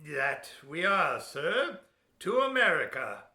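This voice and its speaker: Man acting a forceful, wary ship's captain. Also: fairly high pitch, sing-song, takes it slowly, full character voice. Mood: courteous, guarded. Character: gruff but courteous old-time ship's captain, wary. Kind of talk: amateur audio-drama acting. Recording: echoey room.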